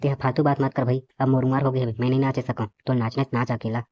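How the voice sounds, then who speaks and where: A man's voice: mid-range voice, level tone, fast talker, lightly acted. A man voicing a weary, grumbling male cartoon character in comic dialogue, complaining sarcastically with a Chhattisgarhi accent. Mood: grumbling refusal. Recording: dull lo-fi audio, faint noise.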